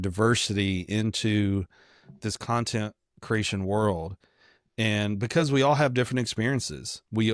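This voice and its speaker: A man's voice: fairly low pitch, no echo, studio quality. A man from Kentucky with a mild Southern American accent, chatting casually as a podcast co-host in a rambling, joking, self-deprecating way. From Kentucky, United States.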